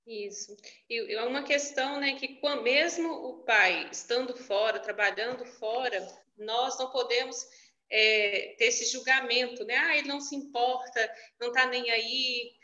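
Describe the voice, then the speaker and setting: Uma mulher numa chamada de vídeo, com voz alta e aguda e um timbre brilhante. Ela fala depressa e com seriedade, num tom de conversa. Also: female voice, a little high, sharp tone, muffled recording. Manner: quick, earnest, hedging with "né?". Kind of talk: casual panel discussion. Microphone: video call.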